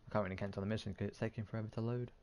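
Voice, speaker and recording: A man's voice: fairly low pitch, fairly even intonation, rapid-fire, a little mellow. A man hosting a gaming video, giving casual commentary as he plays, on a close mic.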